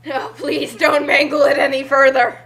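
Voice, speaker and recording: A woman's voice: high voice, little pitch movement, normal speed, crowd noise. A woman trading comedic banter as a co-host in a playful, mock-dramatic way. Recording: music playing behind. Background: music.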